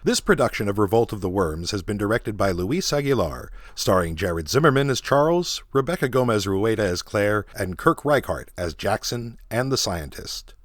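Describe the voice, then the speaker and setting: A man's voice: on the low side, very animated, moderate pace, few pauses, dry recording, clean studio sound. A man working as an announcer, reading scripted credits in the style of radio narration.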